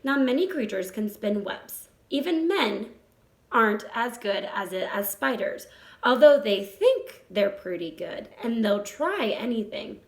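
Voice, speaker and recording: A woman's voice: a little high, sing-song, unhurried. A woman voicing a wise, encouraging female spider in a storybook, patient and instructive. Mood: consoling, matter-of-fact. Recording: roomy echo.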